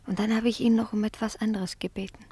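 An actress playing a naive, pious young girl in a radio drama, artless and earnest with a quiet defiance. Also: mid-range voice, level tone, quick pace, lightly acted. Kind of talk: theatrical radio drama acting.

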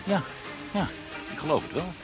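A man's voice: on the high side, animated, moderate pace, over music, close to an everyday voice, low-quality recording. An actor playing a chatty, evasive barman in a radio play, talking in hedging, colloquial turns. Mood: gossipy. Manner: colloquial, hedging, many tag words. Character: chatty, evasive male Amsterdam barman and underworld insider. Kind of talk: Dutch radio play acting.

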